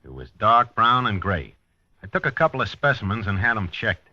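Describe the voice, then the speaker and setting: A man's voice: lowish voice, big pitch swings, normal speed, dry recording. An actor playing a dogged American police detective, narrating his case in a terse, wry, hard-boiled 1950s radio style. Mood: grim, methodical. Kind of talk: 1950s radio drama acting, noir narration. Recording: dull vintage 1950s radio recording.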